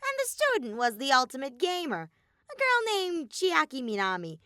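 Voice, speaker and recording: Mischievous cartoon robot bear: high, piercing, wildly swooping, grumpy and flippant. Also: female voice, huge pitch swings, moderate pace, sharp tone, no echo, clean studio sound, exaggerated voice. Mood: grumbling. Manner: flippant, exaggerated cartoonish delivery.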